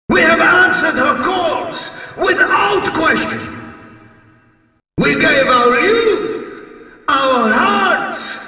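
An actor voicing a fierce Russian soldier prisoner leading a revolt in a video game, with a gravelly voice and emphatic, rousing delivery. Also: male voice, very high-pitched, bright, forceful tone, very echoey, in a large hall, recorded from a distance, full character voice.

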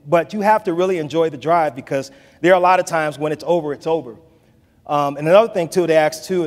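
A man in his forties giving a conversational keynote speech from a podium in a large hall, repeating phrases for emphasis. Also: a little high. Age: 40s. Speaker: keynote speaker. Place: large hall with bookshelves, audience seated. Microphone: podium mic, filmed from the back of the room. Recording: long hall reverb.

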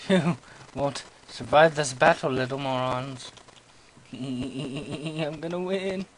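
A young man giving goofy, self-mocking commentary while playing a game, yelling at monsters and talking to himself. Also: mid-range voice, drawn-out words. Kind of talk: let's play commentary. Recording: noisy home recording.

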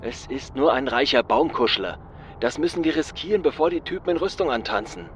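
A man's voice: mid-range voice, animated, fairly quick, a bit bright, lightly acted. A man playing a wary street mage in an audio drama, blunt and colloquial. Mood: uneasy, blunt. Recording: slight background noise.

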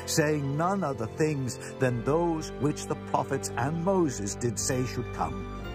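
A man's voice: medium pitch, lively intonation, slow pace. A man playing an earnest, impassioned prisoner defending himself in a solemn, declamatory scripture drama. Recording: prominent music bed under voice.

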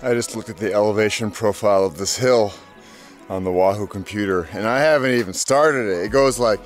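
A man's voice: on the low side, fairly quick, soft background music. A man in his forties vlogging casually and cheerfully while riding a bike on a mountain dirt road outdoors, recorded on an action camera held at arm's length. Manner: chatty and upbeat, talks to animals, playful sing-song bits. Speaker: bikepacking vlogger. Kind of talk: casual vlog narration to camera.

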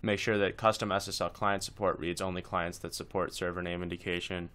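A man's voice: low voice, little pitch movement, brisk, a bit bright. A man presenting a casual screencast tutorial, talking informally through each step as he instructs.

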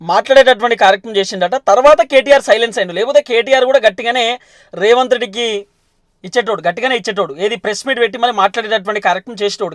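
Telangana guy doing political news commentary, really high voice, talks fast, explains stuff over and over. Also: fairly bright tone, no echo, studio quality. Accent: Telangana Telugu.

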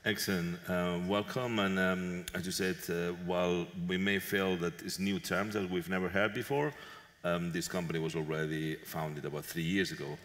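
A man moderating a conference panel on stage, speaking haltingly with many filler sounds into a headset mic. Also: low voice, flat delivery, a bit bright. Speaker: moderator and event organizer. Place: large conference hall stage. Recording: long reverb of a large hall.

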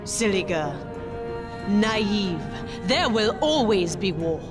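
An actress playing a proud, contemptuous warrior sorceress ruler, a grand and declamatory video game villain. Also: female voice, medium pitch, expressive, slow pace, music in the background. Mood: cold contempt.

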